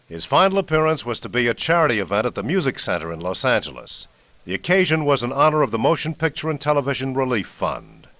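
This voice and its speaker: A male American radio host narrates a documentary from a script. His mid-pitched voice moves through a wide, expressive range. The sound is dry, with no room around it. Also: dry recording.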